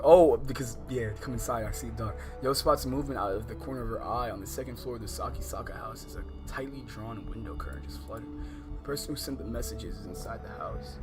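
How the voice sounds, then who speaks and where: Male American game streamer, medium pitch, informal and slangy, reading and chatting over clearly audible game music. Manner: slangy, profane, exasperated. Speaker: livestream host. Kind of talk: casual livestream chat, reading visual novel text aloud. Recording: music behind the voice.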